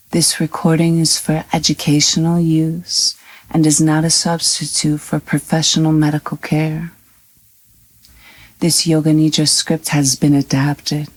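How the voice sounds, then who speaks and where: Very slow, low-pitched woman guiding meditation. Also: rough recording. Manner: calm, soothing, unhurried with long pauses. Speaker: meditation guide. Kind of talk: scripted guided yoga nidra meditation.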